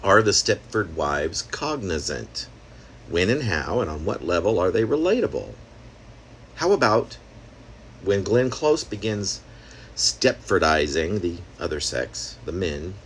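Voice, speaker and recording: A man's voice: low-pitched, very animated, unhurried, fairly bright tone, a little hiss or hum. A man reading his own experimental prose poem aloud in a conversational, wry manner, slipping in asides and rhetorical questions. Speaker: poet reading own work.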